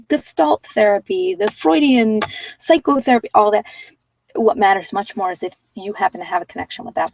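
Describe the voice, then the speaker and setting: A woman talking informally and unscripted on an ASMR meditation channel, thinking aloud in a hesitant, reflective, rambling way with pauses. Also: mid-range voice, creaky, darkish tone, no room sound, far from the mic, poor audio. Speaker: meditation guide and former social worker.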